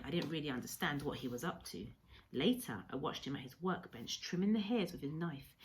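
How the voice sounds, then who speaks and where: Female story reader, lower register, very animated intonation, deliberate emphatic delivery for children. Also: a little hiss or hum, rough recording. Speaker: teacher reading a story to pupils. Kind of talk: expressive children's audiobook-style storytelling.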